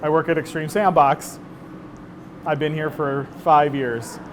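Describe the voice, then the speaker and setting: A man's voice: fairly high pitch, steady tone, a little slow, loud music behind the voice. A man who works at a company, chatting casually for a vlog. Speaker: company employee. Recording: clear background noise.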